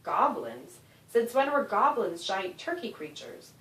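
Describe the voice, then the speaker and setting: A woman's voice: mid-range voice, big pitch swings, unhurried, a bit bright. A woman voicing an anxious, plucky young girl adventurer from a children's storybook, earnest and questioning. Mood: exasperated. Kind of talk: children's storybook character reading. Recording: roomy echo, distant microphone.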